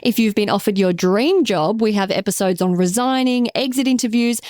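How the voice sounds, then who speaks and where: A woman co-hosting a podcast, her voice hoarse and croaky from a sore throat, talking in a chatty, self-deprecating way. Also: mid-range voice, moderate pace, darkish tone, no room sound, clean studio sound. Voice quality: croaky, raspy. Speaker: podcast co-host, radio journalist. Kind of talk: casual podcast chat. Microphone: studio mic with headphones.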